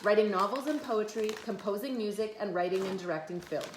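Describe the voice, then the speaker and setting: A woman's voice: medium pitch, a little fast. A mother reading a children's biography aloud at home, with casual asides to her kids. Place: echoey room at home. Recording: echoey room, faint background noise.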